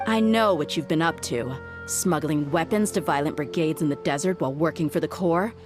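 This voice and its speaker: Woman acting a tough female mercenary, lowish voice, assertive, sardonic, intimidating, video game, faint music underneath. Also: moderate pace, close to an everyday voice. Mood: confident, menacing.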